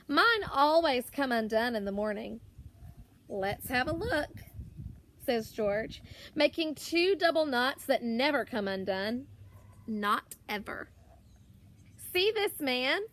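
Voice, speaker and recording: Woman, high voice, very animated intonation, children's story read-aloud, drawn-out words, teacherly delivery. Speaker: primary school teacher. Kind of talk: expressive children's picture book read-aloud.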